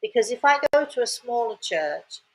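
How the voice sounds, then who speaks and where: A woman's voice: a little high, expressive, moderate pace, low-quality recording. Older lady hosting, chatty rambly storytelling, repeats herself a lot, cheerful little asides. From England. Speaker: host. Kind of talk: casual storytelling monologue.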